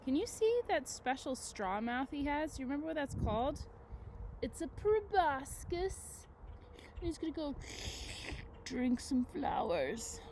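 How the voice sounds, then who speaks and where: A woman's voice: sits high, very animated, unhurried, darkish tone, a little hiss or hum, low-quality recording. A woman teacher reading a picture book aloud to children, expressively, with chatty asides and questions to her young listeners.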